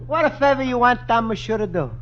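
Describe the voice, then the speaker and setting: An actor playing a naive, earnest Italian immigrant in a radio comedy, with an Italian accent and plaintive, simple broken English. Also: male voice, extremely high pitch, animated, a little fast. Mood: meek, pleading. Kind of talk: 1950s radio comedy acting. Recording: muffled, noisy 1950s radio recording.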